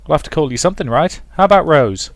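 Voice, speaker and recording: Man as a young male suitor, raised pitch, playful, earnest, teasing, visual novel romance. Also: animated, fast talker, no room sound. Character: eager, playful lovestruck young man courting a shy girl.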